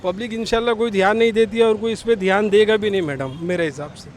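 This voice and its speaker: A man's voice: sits high, fast. A man with a Mumbai accent giving an indignant, colloquial opinion in a street interview, challenging those in power. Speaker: local resident interviewed on the street. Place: outdoors on an urban street. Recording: outdoor street noise with traffic.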